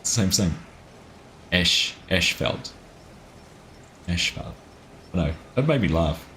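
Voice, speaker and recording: Kiwi male streamer, very low voice, laid-back chatter over faint noise. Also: lively intonation, slow pace, fairly bright tone. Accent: New Zealand. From New Zealand. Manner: chatty, laughs at himself, reads chat aloud. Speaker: livestream gaming host. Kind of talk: casual live gameplay chat.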